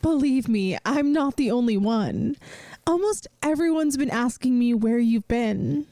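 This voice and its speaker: A woman playing a caring young best friend in a soft-spoken ASMR roleplay, gentle and earnest, hesitant at times, offering reassurance. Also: on the high side, lively intonation, unhurried, dry recording, studio quality. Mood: concerned, teasing.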